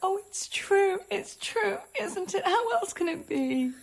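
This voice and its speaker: An actress in a radio drama playing an anguished, frail sixteen-year-old novice with a plaintive, fragile delivery. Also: female voice, very high-pitched, expressive, takes it slowly, creaky, exaggerated voice. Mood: despairing, frightened.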